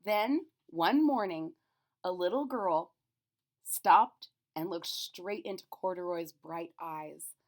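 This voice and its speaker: A woman's voice: lowish voice, takes it slowly. A woman reading a story aloud to children, adding simple explanatory asides.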